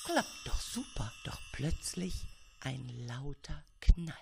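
Gravelly, swooping woman storyteller. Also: low voice, takes it slowly, over loud music. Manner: expressive storytelling. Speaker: narrator. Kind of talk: children's story narration.

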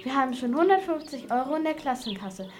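A female performer playing a brisk, firm schoolteacher in an amateur radio play for children. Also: sits high, animated, slight background noise. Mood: brisk, friendly.

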